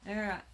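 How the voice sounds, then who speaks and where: A woman's voice: mid-range voice, noisy background. Chatty woman sewing on air. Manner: chatty, mutters to herself while working; reads out and answers viewer comments. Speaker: craft shop owner demonstrating sewing. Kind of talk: live-streamed craft demo chat. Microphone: tablet streaming live.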